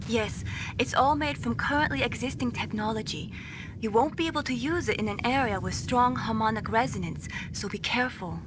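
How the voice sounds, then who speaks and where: A woman's voice: on the high side, fairly quick, close to an everyday voice. A woman voicing a young female weapons designer who teases and explains, in a noisy game cutscene. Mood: explaining. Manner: bright, teasing.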